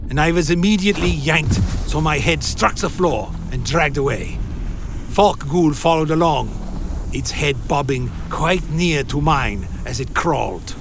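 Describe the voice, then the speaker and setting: A man's voice: a little high, unhurried, background noise. An actor playing a proud, damned vampire who tells his own gothic horror tale in formal, theatrical style. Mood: grim narration. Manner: theatrical, formal, indignant when speaking up. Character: damned male vampire, proud, bitter, vengeful. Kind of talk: first-person gothic horror narration and radio acting. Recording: music under the voice.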